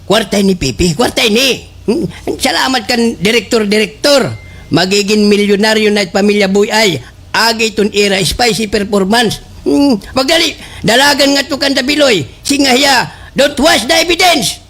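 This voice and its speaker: A man playing a bossy, excitable schemer in a slapstick radio drama, exclaiming and giving orders. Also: high voice, normal speed. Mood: urgent, scheming.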